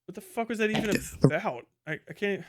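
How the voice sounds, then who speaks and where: A man's voice: high-pitched, expressive, fairly quick, a crowd in the background. A man hosting a casual livestream commentary, swearing heavily in an exasperated, incredulous way. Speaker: livestream host.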